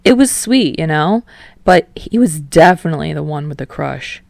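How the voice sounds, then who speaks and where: A woman's voice: low voice, animated, normal speed, creaky, a little mellow, no room sound. A woman voicing an easygoing, earnest young man who talks in a casual, rambling way in a story reading.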